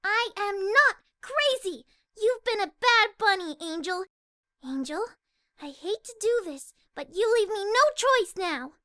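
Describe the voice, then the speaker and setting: A woman's voice: lively intonation, measured pace, no echo, clean studio sound, full character voice. A woman voicing a timid, sensitive young female pegasus pony for cartoon fan fiction, speaking hesitantly in a delicate voice. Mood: angry, upset. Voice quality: soft, high, delicate voice. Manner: hesitant, stammering.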